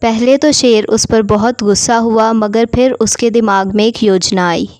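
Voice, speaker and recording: A woman reads a children's story as its narrator. Her voice is on the high side, and the recording is dry, free of room sound. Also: steady tone, unhurried, darkish tone, no echo.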